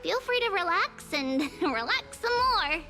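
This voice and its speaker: Childlike girl seer, extremely high light voice, caring, polite, soothing, video game, soft music underneath. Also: big pitch swings, measured pace, full character voice. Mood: cheerful, reassuring. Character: small childlike girl seer, gentle, caring, old-souled. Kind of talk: scripted game voice acting.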